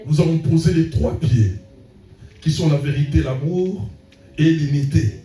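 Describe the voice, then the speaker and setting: Preaching pastor in an echoey hall. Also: male voice, on the high side, unhurried, mellow, soft background music. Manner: preaching with frequent "amen" calls, rising and falling emphasis. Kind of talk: sermon. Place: church hall. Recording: echoey church room, voice from a distance.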